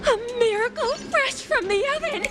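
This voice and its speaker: An actress playing a theatrical herald in a video game, declaiming wonders dramatically. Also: female voice, very high-pitched, normal speed. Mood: dramatic. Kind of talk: video game character dialogue. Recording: loud game music under the voice.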